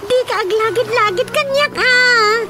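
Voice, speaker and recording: A woman playing an excitable, tender-hearted young daughter in a radio comedy drama, with exclamatory, wide-eyed delivery. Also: extremely high pitch, a little slow, background noise. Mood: scolding, alarmed.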